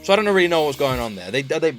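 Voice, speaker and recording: A man hosting a reaction video, talking in a casual, chatty and emphatic way with excitable commentary. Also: on the high side, rapid-fire, faint music behind. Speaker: reaction channel host.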